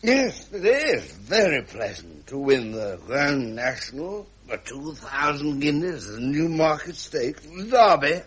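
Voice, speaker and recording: An actor playing a weary, bored aging English prince with pompous, deliberate upper-class speech in a British radio drama. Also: male voice, on the high side, big pitch swings. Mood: melancholy. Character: weary, bored aging royal prince, imperious yet melancholy.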